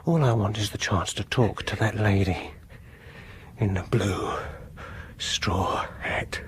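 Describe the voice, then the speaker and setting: An actor playing a coldly vengeful, obsessive music lover in a radio horror drama, pedantic and condescending. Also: male voice, on the low side, slow pace, husky, heavily acted. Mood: quietly menacing. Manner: pedantic, mock-reasonable, condescending.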